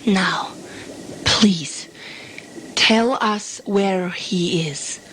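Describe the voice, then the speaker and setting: A woman's voice: medium pitch, drawn-out words, fairly bright tone, slight background noise, low-quality recording. A woman playing a level-headed, peaceable explorer in a sci-fi audio drama, speaking formally and with measure. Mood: earnest pleading.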